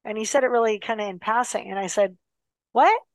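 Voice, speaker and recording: A woman telling a long personal story in a casual, animated way, full of fillers and restarts, dropping her voice for serious parts. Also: a little high, brisk, dry recording, clean studio sound.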